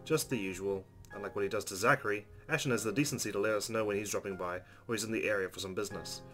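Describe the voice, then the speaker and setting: A man's voice: on the low side, very fast, pushed and bright. A man hosting a game playthrough, reading the story narration aloud expressively. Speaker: let's play host reading game narration. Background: game music.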